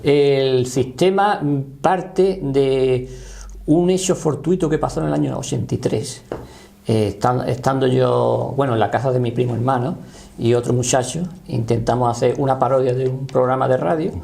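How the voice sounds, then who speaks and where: A man in his sixties with a Spanish accent explains and recounts his experience in a halting, conversational way, often checking he is understood. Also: mid-range voice, creaky, warm tone. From Spain. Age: 60s. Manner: halting, often seeking confirmation. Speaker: interview guest, paranormal voice researcher.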